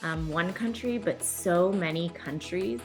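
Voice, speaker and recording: A woman's voice: on the low side, measured pace, darkish tone, faint music behind. A woman giving an interview comment in a thoughtful, sincere way. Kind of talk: interview soundbite.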